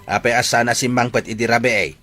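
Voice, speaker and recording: Forceful, grumbling old Ilocano father, played by a man. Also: mid-range voice, steady tone, fast talker, soft background music, close to an everyday voice. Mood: exasperated. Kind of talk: amateur Ilocano radio-style comedy drama acting.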